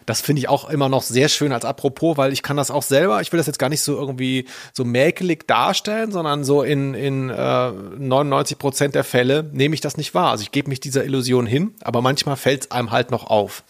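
A man co-hosting a culture podcast in casual conversation. His voice is medium in pitch with hardly any room sound. He rambles enthusiastically and doubles up words. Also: brisk, vocal fry, few pauses, no room sound, clean studio sound. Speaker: podcast host, culture journalist.

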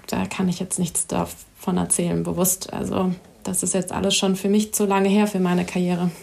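Young woman guest, flat gravelly voice, kinda low, careful answers. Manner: measured, careful, starts with "also". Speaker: guest athlete. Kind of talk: podcast interview answers.

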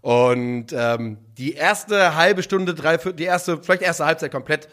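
A chatty man co-hosts a relaxed football podcast. His voice sits a little high for a man. He talks in quick asides and laughs easily. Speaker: podcast co-host. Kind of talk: casual football podcast chat.

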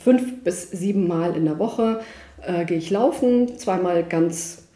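A woman with a mellow, warm voice talks easily as a podcast guest, dropping little "ne?" tags and fillers as she goes. Her voice carries the echo of a roomy space. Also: lowish voice, unhurried. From Germany, of Sicilian parents. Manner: chatty, frequent "ne?" tags and fillers. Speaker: nutrition scientist guest. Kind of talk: casual podcast interview.

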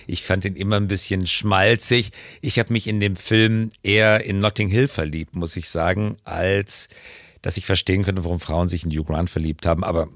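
A man's voice: sits low, steady tone, moderate pace, a bit bright, no echo, studio quality. A middle-aged man chatting casually as the co-host of a podcast. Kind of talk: casual conversational podcast talk.

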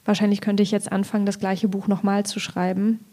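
A woman's voice: medium pitch, level tone, a little fast, warm tone. A woman from Thuringia, a writer, reflecting tentatively on her work in a literary discussion and searching for her words. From Thuringia, Germany. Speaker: poet and writer.

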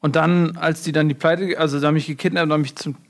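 A man playing a muddled, rambling fortune cookie writer in a deadpan comedy interview. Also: fairly high pitch, quick pace, creaky. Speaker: mock interview guest. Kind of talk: improvised comedy sketch.

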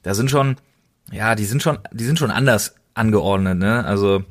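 A man with a fairly low voice talks casually as a podcast co-host. He sounds enthusiastic, leaning hard on emphatic, slangy words as he chats. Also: normal speed.

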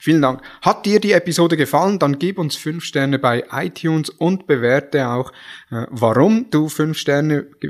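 Swiss-accented male podcast host. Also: medium pitch. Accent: Swiss German. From Switzerland. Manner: friendly, with frequent hesitation fillers.

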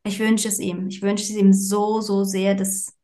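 A woman's voice: mid-range voice, little pitch movement, a little slow, dark tone. A woman co-hosting a podcast, talking casually in an encouraging, colloquial and blunt way. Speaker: podcast co-host. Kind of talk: casual conversational podcast chat.

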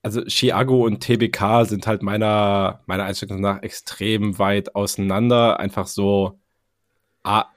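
Low-voiced man, close and dry. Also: steady tone, a little slow, no room sound. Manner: slangy, colloquial German youth speech. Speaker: podcast co-host. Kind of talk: casual music review chat.

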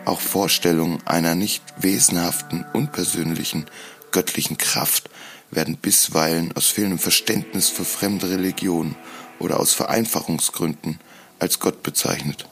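A man narrating a scripted first-person audio drama in a solemn, deliberate storytelling manner. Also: sits low, fairly even intonation, fairly bright tone, faint music behind. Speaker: podcast host.

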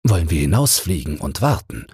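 Mann spielt alten, heiseren, weisen Spatz. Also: male voice, a bass voice, sing-song, fairly quick, darkish tone, breathy edge, full character voice. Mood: knowing. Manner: wise, opinionated. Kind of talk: fairy-tale audiobook character voice.

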